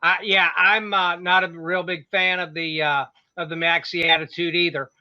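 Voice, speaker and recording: A man's voice: high voice, flat delivery, moderate pace, muffled recording. A male host asking interview questions in short, agreeable prompts.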